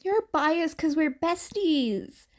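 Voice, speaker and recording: A woman's voice: very high-pitched, animated, slow pace, pitched above the performer's own voice, full character voice. A woman voicing an upbeat, loyal teenage girl with an eager, bubbly way of talking.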